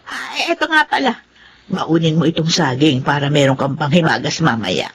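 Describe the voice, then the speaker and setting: A woman's voice: deep voice, big pitch swings, moderate pace, slight background noise. An actress playing a meek, anxious woman in her seventies in a Filipino audio drama, hesitant and stammering. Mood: hesitant, motherly. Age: 70s. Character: elderly Filipino mother and grandmother, flustered, anxious, meek.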